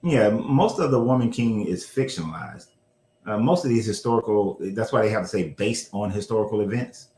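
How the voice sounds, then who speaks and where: A man's voice: lowish voice, expressive, moderate pace, a little mellow. Loose-talking man in a roomy room. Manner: loose, profane, corrects himself aloud. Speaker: show host. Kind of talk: casual history discussion. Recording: roomy echo.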